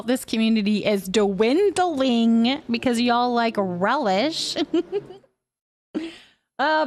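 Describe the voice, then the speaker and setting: A woman chatting casually and playfully as she hosts a livestream and responds to viewers' comments. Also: fairly high pitch. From Canada. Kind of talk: casual chat, reading and answering viewer comments.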